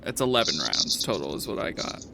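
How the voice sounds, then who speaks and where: A man's voice: low voice, wildly swooping pitch, fairly quick, creaky, bright, forceful tone, slight background noise. A man casually narrating a tabletop game as its game master.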